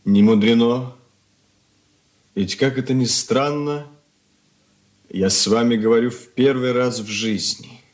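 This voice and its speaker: An actor playing a troubled, ashamed young son in an earnest radio drama confession. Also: male voice, medium pitch, unhurried, long pauses, a little mellow, natural voice. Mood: ashamed, pleading. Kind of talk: Soviet radio play acting.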